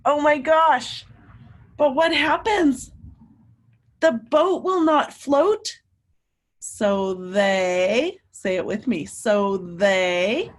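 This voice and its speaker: A woman teacher reading a picture book aloud to young children, animated and emphatic, often putting questions to her listeners. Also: high voice. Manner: animated, emphatic, drawn-out words, coaxing young children along.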